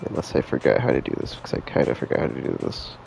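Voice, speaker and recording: Male streamer, laid-back and slangy, very creaky delivery with lively pitch movement, rambling game commentary. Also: quick pace, soft background music. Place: indoors, live stream with game music behind.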